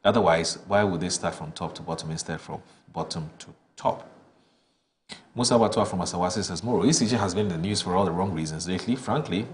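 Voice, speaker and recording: A man's voice: low voice, slight background noise. A man from northern Ghana with a Ghanaian English accent, hosting a morning TV show and reading viewers' messages aloud, with hesitant fillers and restarts. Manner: stumbling restarts and hesitant fillers between brisk read-outs. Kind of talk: reading listener texts on air, chatty asides. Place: television studio.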